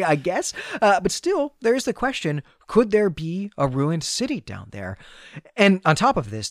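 A man's voice: fairly high pitch, dry recording, studio quality. A man hosting a literary discussion podcast, talking in an analytical, enthusiastic way and often repeating words mid-sentence. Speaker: podcast host.

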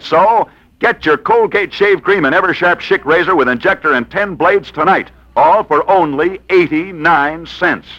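A man hosting in a theatrical, emphatic 1940s radio style, with scripted narration and dramatic pauses. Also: a little high, bright, forceful tone. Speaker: radio host and storyteller. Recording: muffled old radio broadcast, faint music.

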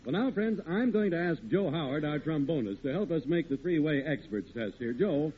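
A man announcing and pitching in a folksy 1940s radio showman style. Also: animated, moderate pace, slight background noise. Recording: tinny old radio recording.

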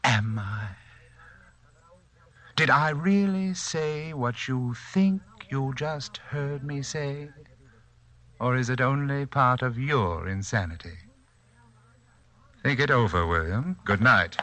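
An actor playing a suave, coldly menacing hypnotist, polite and condescending, in 1940s radio drama style. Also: male voice, mid-range voice, slow pace, long pauses, pushed and bright.

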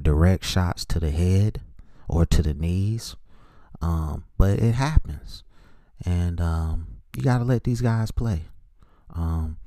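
A middle-aged man hosting a solo podcast, giving his sports opinions in an informal, measured, opinionated way. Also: low-pitched, dark tone, dry recording, clean studio sound. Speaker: podcast host.

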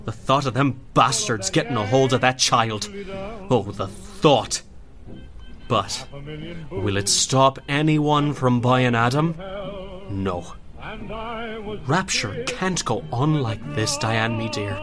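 An actor playing a gruff, suspicious, charismatic rebel leader in a radio drama, folksy and probing in his emphatic delivery. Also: medium pitch, expressive, slow, long pauses. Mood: impassioned. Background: music.

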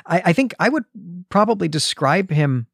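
A man's voice: high voice, sing-song, normal speed, gravelly, dry recording, studio quality. A man co-hosting a podcast, talking reflectively and conversationally about stories and posing questions. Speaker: podcast co-host. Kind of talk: conversational literary podcast discussion.

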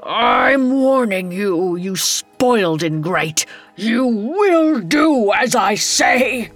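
A tyrannical old storybook king at death's door , played by a woman narrator. The words come slowly and with strain, full of bitter authority, over faint music. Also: fairly high pitch, animated, pitched above the performer's own voice, heavily acted. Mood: angry warning. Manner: slow, strained, commanding.

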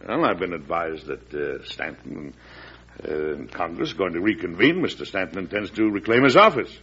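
An embattled president in a 1940s radio play. He is defiant and oratorical, with a slightly rough, husky voice. The old recording is dull and muffled. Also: low voice, very animated, normal speed, fairly bright tone, exaggerated voice. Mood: tense, determined. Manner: emphatic, oratorical. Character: embattled male US president, defiant, restless and indignant. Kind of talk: 1940s radio drama acting.

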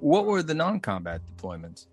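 A man's voice: a little high, wildly swooping pitch, normal speed, faint music behind. A man in his thirties hosting a casual interview, rambling and laughing easily. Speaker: podcast host.

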